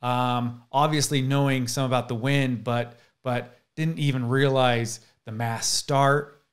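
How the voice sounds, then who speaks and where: Brief: man in his thirties, excitable interview host, stumbles and restarts, desk mic. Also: medium pitch, takes it slowly. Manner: enthusiastic, self-deprecating, many restarts. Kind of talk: casual interview chat. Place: indoor studio room with posters. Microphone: desk podcast mic, seen.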